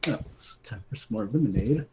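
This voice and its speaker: A man's voice: lowish voice, expressive, brisk, heavy background noise. A man giving a dramatic, mock-serious reading of an absurd comic story, often amused by it. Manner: amused, breaking into giggles.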